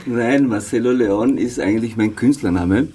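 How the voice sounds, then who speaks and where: A middle-aged man from Chile, a musician guest on a radio show, hesitant and pausing with fillers. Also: mid-range voice, crowd noise. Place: radio studio.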